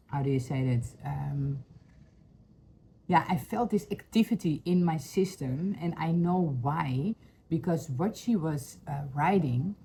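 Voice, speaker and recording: A woman with a Dutch accent, speaking earnestly to camera at home in English, often pausing to search for words. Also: low voice, a little hiss or hum, poor audio. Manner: searching for words, many fillers, soft and earnest. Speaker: vlogger. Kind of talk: personal heartfelt talk to camera. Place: home room with a couch.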